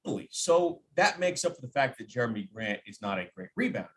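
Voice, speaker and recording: A man's voice: mid-range voice, very animated, quick pace. A man talking basketball as a radio announcer guest, conversational and emphatic, repeating phrases for stress and voicing imagined quotes. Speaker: sports radio play-by-play announcer, podcast guest. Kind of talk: animated basketball analysis in casual conversation.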